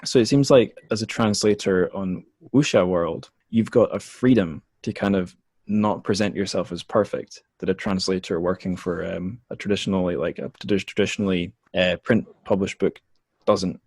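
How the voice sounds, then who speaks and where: A man's voice: deep voice, moderate pace, darkish tone, breathy edge, dry recording. A man hosting a podcast interview, asking casual questions in a thoughtful, hesitant way.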